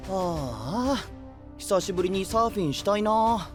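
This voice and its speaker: A man's voice: high voice, animated, unhurried, soft background music. A man playing a carefree, friendly young knight in an anime audio drama, with casual, loose, easygoing speech. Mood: troubled but breezy.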